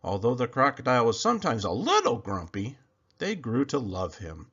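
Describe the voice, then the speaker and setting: A man reading a children's picture book aloud in an expressive, animated storytelling manner. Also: mid-range voice, normal speed, husky. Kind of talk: children's read-aloud narration. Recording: slightly muffled recording.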